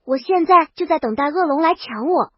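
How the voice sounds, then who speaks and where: High-pitched woman narrating a comic tale. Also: expressive, fairly quick, no echo, lo-fi sound. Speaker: audiobook narrator. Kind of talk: first-person comedic storybook narration.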